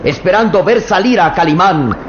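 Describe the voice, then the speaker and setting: A man's voice: high-pitched, animated, moderate pace. A man narrating a radio serial in a tense, suspense-building way. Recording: echoey, muffled old radio with loud hiss.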